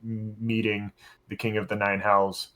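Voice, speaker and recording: A man with a fairly low voice talks casually as a podcast co-host and game master. He is plain-spoken and opinionated, speaking in short phrases. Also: monotone, a little slow, bright, forceful tone, low-quality recording. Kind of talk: casual roundtable chat.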